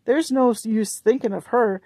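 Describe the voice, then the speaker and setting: A woman's voice: fairly high pitch, measured pace, warm tone, no echo. A woman reading aloud, voicing a practical older girl who scolds her sister. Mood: scolding.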